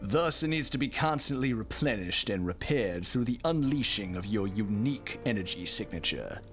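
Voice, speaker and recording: A man playing a stern, formal high councilman of a dream realm in an audio drama, speaking in a measured, official way. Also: expressive, a bit bright, faint music behind. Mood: grave, explanatory.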